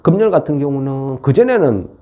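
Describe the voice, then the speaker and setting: A man's voice: mid-range voice, lively intonation, a little fast, dark tone, muffled recording. A man with a regional, non-Seoul South Korean dialect giving an informal explanatory market lecture in a folksy way, often tagging on rhetorical questions. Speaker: stock market commentator.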